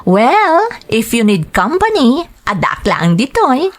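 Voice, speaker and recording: A woman's voice: on the high side, huge pitch swings, slow pace, heavily acted. A woman with an Ilocano Filipino accent playing a flirtatious office worker in a drama. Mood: teasing, flirty. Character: flirtatious playgirl office worker pursuing a married male colleague. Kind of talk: Ilocano radio-style drama acting.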